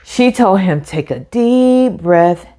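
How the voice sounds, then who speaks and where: A woman's voice: medium pitch, lively intonation, slow, dark tone. A woman hosting a children's storytime, talking like a teacher and encouraging young listeners with direct questions. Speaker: host of children's read-aloud storytime.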